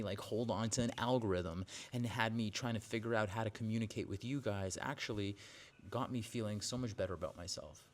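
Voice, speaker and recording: A man in his forties, a yoga teacher, talking candidly in a casual vlog, with frequent "like"s and restarts. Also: lowish voice. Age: 40s. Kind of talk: casual vlog monologue to camera. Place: outdoors with birds nearby.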